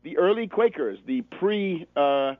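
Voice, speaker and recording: A man's voice: fairly high pitch, lively intonation, unhurried, dry recording. An American man hosting a political radio show, delivering emphatic, sardonic commentary as a monologue with rhetorical repetition. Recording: narrow phone-like sound, dry.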